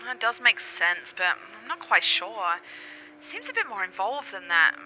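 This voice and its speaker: A woman's voice: mid-range voice, big pitch swings, a little slow, pushed and bright, a little hiss or hum, exaggerated voice, rough recording. An actress playing a doctor's ailing but strong-willed girlfriend, speaking in a wry, theatrical radio play manner. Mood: wry, stubborn.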